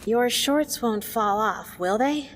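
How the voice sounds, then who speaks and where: A woman playing an excitable, mischievous, greedy young adventurer in an audio drama, playful and cheeky, with reverb. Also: on the high side, slow, a little hiss or hum. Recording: long reverb, music behind.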